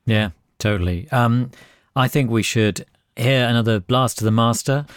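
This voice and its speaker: A man's voice: mid-range voice, normal speed, smooth, dry recording, studio quality. A man hosting a music podcast and interviewing a band, summing up their answers in a reflective way. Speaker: podcast host. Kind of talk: interview chat.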